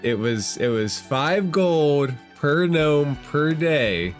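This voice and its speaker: A man running a tabletop role-playing game, recapping events to his players in a measured, teasing way. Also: on the high side, expressive, faint music behind. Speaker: game master.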